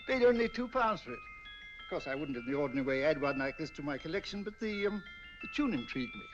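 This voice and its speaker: Brief: affable British collector, lively higher voice, chuckly, muffled vintage sound with faint hiss. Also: male voice, a little fast, over music. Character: affable middle-aged male collector. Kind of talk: 1940s film acting. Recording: dull old film soundtrack.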